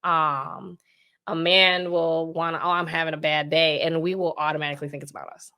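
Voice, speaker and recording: A woman's voice: low voice, little pitch movement, fairly quick, pushed and bright. A woman in her thirties talking candidly as a podcast guest, earnest and rambling, often asking "you know what I'm saying". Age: 30s. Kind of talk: candid conversational talk.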